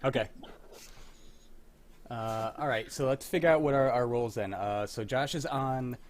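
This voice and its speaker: A man's voice: medium pitch, normal speed. A young man hosting a live online script reading over a video call, rambling and correcting himself as he goes. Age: young adult. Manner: relaxed, rambling, self-correcting. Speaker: host and sound designer of a live script-reading stream. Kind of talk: casual chat on a livestream.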